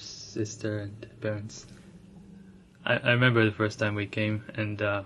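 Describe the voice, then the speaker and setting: A young man from Ethiopia telling his own story, soft-spoken and reflective, with frequent restarts and light laughs. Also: lowish voice, slight background noise. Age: young adult. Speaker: interviewee sharing his immigrant story. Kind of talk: personal storytelling.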